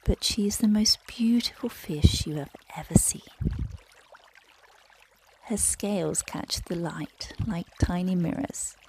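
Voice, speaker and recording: Woman, children's meditation storyteller, soothing and breathy, unhurried, creaky low edges, light noise and trickling water behind. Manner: gentle, soothing, hushed and breathy, often near whispering. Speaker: meditation guide and bedtime storyteller. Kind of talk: guided relaxation and bedtime story narration for children.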